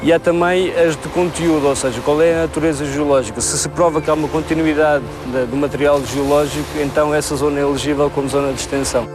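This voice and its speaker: A man with a European Portuguese accent giving halting, technical explanations as an expert in an interview. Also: fairly high pitch, fairly even intonation, over music. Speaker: marine survey expert being interviewed.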